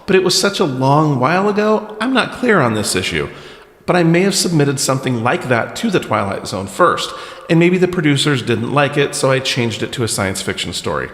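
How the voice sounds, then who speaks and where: A man hosting a podcast, giving scripted commentary with casual asides in an expressive, wry manner. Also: mid-range voice, brisk. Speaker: podcast host.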